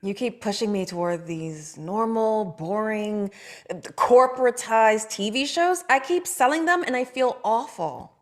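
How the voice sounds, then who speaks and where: A woman's voice: on the high side, measured pace, lightly acted. A woman reading the part of a frustrated TV writer who speaks in an earnest, pointed way. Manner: earnest, pointed, pushing back. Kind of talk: comedy table read.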